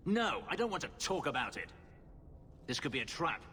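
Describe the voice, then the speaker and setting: An actor playing a bitter, brooding elven former slave in a video game, speaking with intensity. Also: male voice, on the high side, very animated, moderate pace, pushed and bright, slight background noise. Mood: bitter anger.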